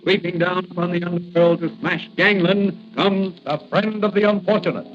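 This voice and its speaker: A man's voice: sits high, steady tone, moderate pace, faint music behind. A man announcing a 1940s radio serial in a dramatic, declamatory style. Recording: muffled old radio recording.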